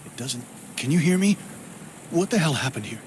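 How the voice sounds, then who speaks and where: An actor playing a distressed, brooding man in a video game who searches for his missing sister, speaking gravely and formally. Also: fairly high pitch, lively intonation, normal speed, a little hiss or hum, lightly acted. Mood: bewildered, urgent. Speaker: game protagonist and narrator. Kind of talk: dramatic video game voice acting.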